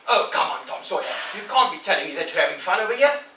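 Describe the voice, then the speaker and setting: A man's voice: high-pitched, lively intonation, quick pace, distant mic, a little hiss or hum, voice pushed up. A man voicing a mocking boy bully a couple of years older than the hero, in an echoey room away from the mic. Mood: pleading. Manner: mocking, wheedling, loud.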